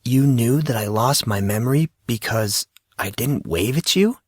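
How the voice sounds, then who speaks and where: A man's voice: mid-range voice, animated, measured pace, husky, no echo, clean studio sound. A man voicing a confused, earnest fourteen-year-old boy in audiobook dialogue, hesitant and questioning. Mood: stunned, confused.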